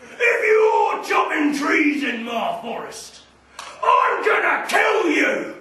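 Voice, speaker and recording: Guy doing big brute troll yelling threats, loud and pitched up, storytime, echoey room. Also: very animated, slow, some chatter behind, slight background noise, exaggerated voice. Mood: bellowing threat. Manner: bellowing threats. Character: huge brutish male forest troll, menacing. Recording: roomy, distant mic, faint ambience.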